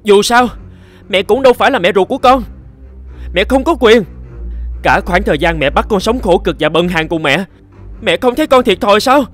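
A man voicing a poor, earnest teenage boy with big dreams in an animated moral tale, speaking with dramatic emotion. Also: high voice, normal speed, long pauses, soft background music. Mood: angry, accusing.

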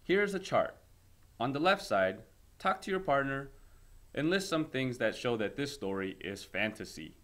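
A man reading a story aloud as a teacher, in an expressive lesson style. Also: medium pitch, unhurried.

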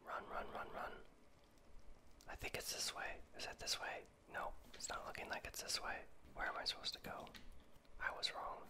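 Anxious whispering man playing a game. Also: moderate pace. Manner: soft whisper, anxious self-coaching, repeats words when panicking. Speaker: gaming video creator. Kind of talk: whispered ASMR gameplay commentary.

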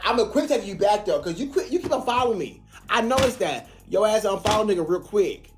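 Animated teasing male livestream host. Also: high-pitched, fast talker, soft background music. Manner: animated, teasing, laughs often. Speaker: live stream host. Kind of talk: casual panel chat.

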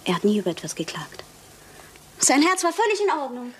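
Lucia, high-voiced anxious young wife. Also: female voice, wildly swooping pitch, fairly quick. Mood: anxious, insistent. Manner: urgent, insistent. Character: anxious, insistent young wife in a lordly family. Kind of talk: German radio crime drama acting. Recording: faintly noisy old radio play recording.

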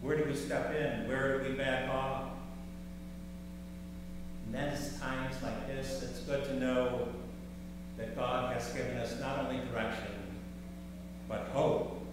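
An older man preaching a sermon from a pulpit, slow and measured, with rising emphasis on key words. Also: medium pitch, steady tone, distant mic. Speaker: pastor. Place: large church sanctuary, at a pulpit. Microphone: far camera in the sanctuary. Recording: echoey church hall from afar, background hum.

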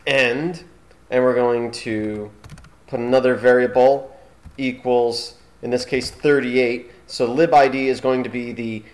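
A man presents a screencast tutorial in an informal way. His voice sits at a medium pitch, and he talks haltingly, thinking aloud as he works through each step. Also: darkish tone. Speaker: tutorial host.

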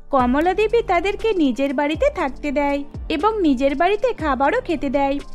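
Very high-pitched woman narrating a story. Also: darkish tone. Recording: music behind the voice.

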